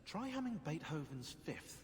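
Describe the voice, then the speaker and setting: An actor playing a sardonic, excitable code-cracking researcher in a video game, explaining things eagerly. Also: male voice, fairly high pitch, moderate pace, a little hiss or hum. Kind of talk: naturalistic video game cutscene acting. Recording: long hall reverb, loud music. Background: loud music.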